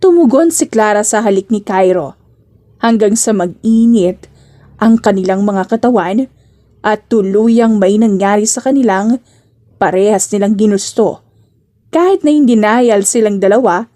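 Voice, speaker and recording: A woman's voice: mid-range voice, a little slow, dark tone. A woman reading scripted romance narration as an audiobook narrator.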